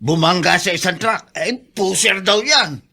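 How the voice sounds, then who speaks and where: An actor playing an urgent, excitable man in a Filipino radio drama, calling out with a Filipino accent. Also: high-pitched, a little slow, slightly rough, heavily acted.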